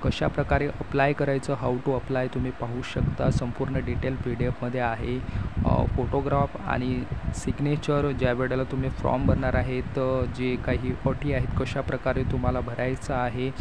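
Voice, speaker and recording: A man from Maharashtra presenting an informational explainer to online viewers, reading out details with hesitant pauses. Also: medium pitch, little pitch movement, creaky, very noisy. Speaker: YouTube host announcing job openings.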